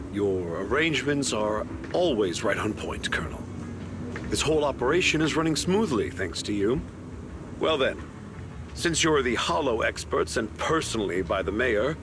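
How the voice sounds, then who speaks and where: A man's voice: mid-range voice, lively intonation, background noise, lightly acted. A man voicing a condescending, pompous major general in a video game, commanding and slyly sarcastic. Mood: sardonic, cold. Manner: pompous, deliberate, veiled sarcasm. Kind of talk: scripted video game voice acting.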